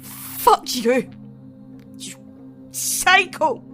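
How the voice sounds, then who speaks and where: An actress playing a fierce, defiant survivor in an audio drama, blunt and exasperated in manner. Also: female voice, high voice, expressive, extremely slow, sharp tone, faint music behind, full character voice. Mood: defiant anger.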